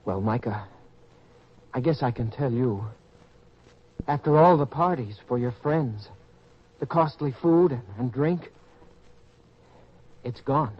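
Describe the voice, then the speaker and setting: A man's voice: medium pitch, expressive, slow, pauses often, husky. An actor playing a naive, earnest young farm boy who has been swindled, in an old-time radio drama style. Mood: worried, dejected. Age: young adult. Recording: dull lo-fi radio-style recording.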